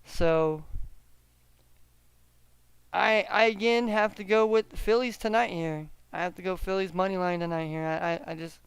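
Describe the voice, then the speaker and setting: Halting high-voiced man talking sports picks. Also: steady tone, clear, resonant tone, no room sound. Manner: halting, repeats words, hedging gut calls. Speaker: sports betting show host. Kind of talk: casual solo sports picks chat.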